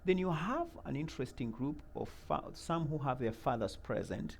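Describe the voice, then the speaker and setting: A middle-aged man, from Uganda, answering interview questions about a mentoring program in an earnest, measured way, with many fillers and repeated words. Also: fairly high pitch, expressive, creaky, a little hiss or hum.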